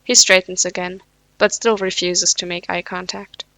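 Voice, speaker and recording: A woman's voice: fairly low pitch, level tone, moderate pace, pushed and bright, no room sound. A woman reading fanfiction aloud at home, with casual, self-deprecating asides; English is not her first language. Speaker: podfic reader.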